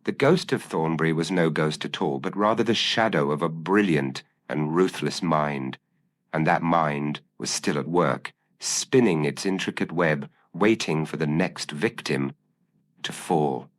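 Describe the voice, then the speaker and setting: A man narrating a gothic mystery story in a measured, solemn, dramatic style. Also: very deep voice. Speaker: audiobook narrator.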